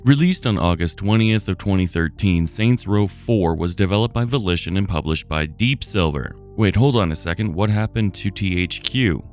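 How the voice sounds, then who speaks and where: A man with a fairly low voice presents scripted game commentary as a host. He talks informally and straight to the viewer, with light music underneath. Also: lively intonation, moderate pace, hardly any pauses, darkish tone. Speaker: video essay host. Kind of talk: scripted narration, gaming retrospective commentary.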